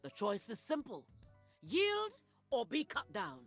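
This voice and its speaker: A woman's voice: high voice, huge pitch swings, takes it slowly, vocal fry, soft background music, heavily acted. An actress playing a proud, ruthless middle-aged warlord mother in a video game, commanding and haughty. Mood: stern contempt.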